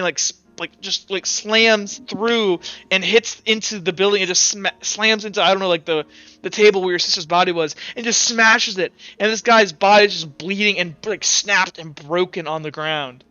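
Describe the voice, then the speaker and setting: A man working as game master, improvising scene narration in a halting way and repeating words as he describes. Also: high voice, pushed and bright, clear, resonant tone, no room sound. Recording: dull audio, faint background music.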